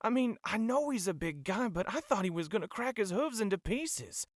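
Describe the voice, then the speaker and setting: A man playing a caring, troubled pony worker in an audio drama, halting and heavy-hearted. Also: high-pitched, very animated, no room sound, studio quality. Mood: troubled, concerned.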